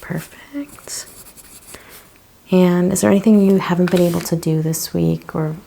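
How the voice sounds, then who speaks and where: A woman speaking in a gentle, hushed, partly whispered ASMR roleplay style, asking questions as a chiropractor. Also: on the low side, fairly even intonation, a little slow, vocal fry, slight background noise, poor audio. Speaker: ASMR host playing a chiropractor. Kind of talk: soft-spoken ASMR roleplay.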